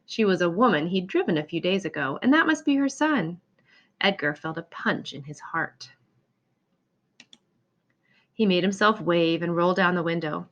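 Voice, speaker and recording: A woman's voice: on the low side, moderate pace, pauses often. Woman reading a picture book, slightly muffled recording. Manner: expressive, acts out exclamations and dialogue. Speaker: storybook reader. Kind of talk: children's picture book readaloud.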